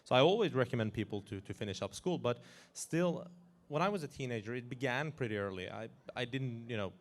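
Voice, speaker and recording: A man in his forties from Iran who grew up in Norway, talking as a guest in an interview in an animated way, chuckling and restarting his sentences. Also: medium pitch, brisk. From Iran, raised in Norway. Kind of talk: casual interview talk.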